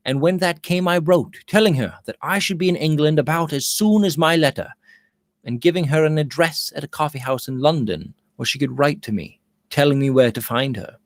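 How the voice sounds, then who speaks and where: A man's voice: on the high side, no echo, studio quality, lightly acted. A man reading the part of a brooding, agitated gold prospector in an audiobook, with dramatic, impassioned delivery. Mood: earnest.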